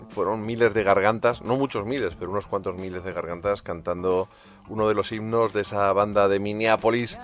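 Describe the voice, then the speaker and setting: A man hosting a radio music show, chatting casually, teasing his co-host and talking up bands. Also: lowish voice.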